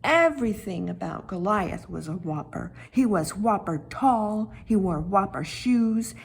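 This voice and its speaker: Woman voicing a slow, wide-eyed storybook stone. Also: mid-range voice, lively intonation, vocal fry. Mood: awed. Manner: dramatic, hushed whispers and emphatic repetition. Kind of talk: children's Bible storytelling.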